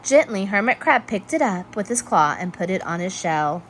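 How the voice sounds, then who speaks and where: A woman reading a children's story aloud in an expressive, measured storytelling manner. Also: medium pitch, a little hiss or hum. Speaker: storybook reader. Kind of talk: children's read-aloud narration.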